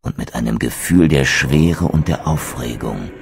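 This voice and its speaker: Ein Schauspieler spricht einen bitteren, stolzen untoten Krieger in einem Videospiel, feierlich und wortgewandt. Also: male voice, extremely low pitch, unhurried, creaky, slight background noise, heavily acted. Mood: brooding. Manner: solemn, eloquent, theatrical. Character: bitter, proud undead wraith warrior, male. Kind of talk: dramatic video game narration and dialogue.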